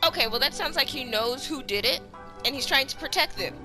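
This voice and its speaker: A woman's voice: high-pitched, lively intonation, fairly quick, music in the background. A woman streamer giving casual, informal commentary and talking back to the game as she plays. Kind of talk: casual reactive gameplay commentary.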